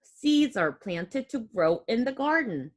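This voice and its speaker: A woman's voice: on the high side, slow pace. A woman reading a picture book aloud to young children like a teacher, expressively, with simple explanatory asides. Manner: expressive, child-directed, with audible gasps and exclamations.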